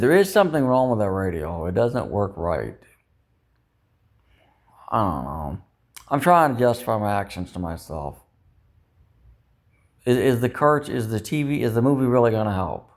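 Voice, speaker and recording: Low-voiced man rambling to himself. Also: very animated, measured pace, pauses often. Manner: self-doubting, ruminating, mildly profane. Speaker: vlogger. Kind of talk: rambling casual vlog monologue.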